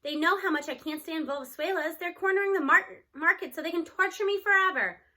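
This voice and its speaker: Woman reading as jaded, sardonic male teacher, bright voice. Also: very high voice, a little fast, voice pushed up. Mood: exasperated. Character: jaded, sardonic middle-aged male teacher longing for retirement. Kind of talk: scripted children's novel read aloud.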